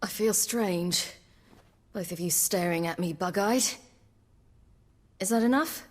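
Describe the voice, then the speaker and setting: A slow-speaking, uneasy young woman. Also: medium pitch. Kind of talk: video game dialogue.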